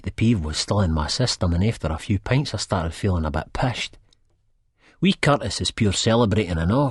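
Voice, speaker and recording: A good-natured, drug-addled Scot from Edinburgh, narrating an audiobook in character. His voice is low and rough-edged, with a husky grain. He rambles in thick local slang, in a dry, close recording. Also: no echo. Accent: Edinburgh Scottish. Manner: colloquial, rambling, peppered with "man". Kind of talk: first-person audiobook narration, acted in character.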